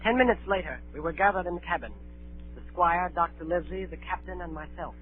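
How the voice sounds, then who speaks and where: Earnest adventure storyteller a male actor: fairly high voice, measured dramatic narration, thin vintage radio sound. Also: faint music behind, close to an everyday voice. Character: earnest storyteller recalling his boyhood sea adventure. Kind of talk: dramatic first-person radio narration, 1930s. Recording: narrow-band 1930s radio broadcast.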